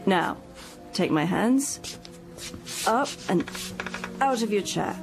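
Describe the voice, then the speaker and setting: An actress playing a kindly, reassuring home helper in a radio drama, encouraging and gently bossy. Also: female voice, medium pitch, lively intonation, takes it slowly, vocal fry, over music.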